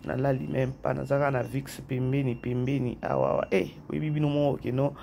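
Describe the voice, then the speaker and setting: Gravelly man with a head cold. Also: medium pitch, little pitch movement, fairly quick, mellow, a little hiss or hum. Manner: chatty, repeats phrases, addresses viewers directly. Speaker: YouTube channel host. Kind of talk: casual commentary vlog, mixing French and Lingala.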